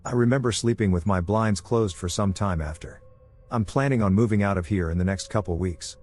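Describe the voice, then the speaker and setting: A man with a fairly low voice reads out creepy first-person stories. His delivery is calm and steady, a scripted storytelling narration. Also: a little fast, faint music behind. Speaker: voice actor narrating submitted stories.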